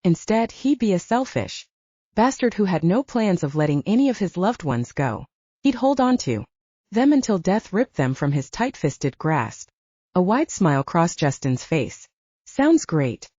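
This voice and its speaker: A woman's voice: fairly low pitch, sing-song, normal speed, dark tone. A woman reading a scripted fiction audiobook as its narrator. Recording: dull, roomy, echoing audio.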